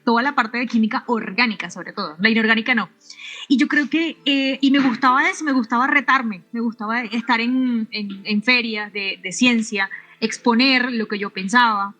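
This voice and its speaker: A middle-aged woman from Venezuela, a physicist answering interview questions in a halting way, often restarting and adding tag questions. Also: on the high side, pushed and bright, faint music behind, low-quality recording. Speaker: physicist and researcher, interview guest.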